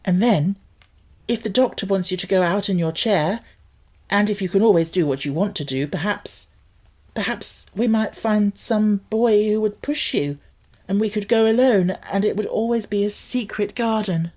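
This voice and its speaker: Storybook young girl, woman narrator acting: earnest, high-voiced, breathless, words tumbling out. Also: moderate pace, a little mellow, close to an everyday voice. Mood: pleading.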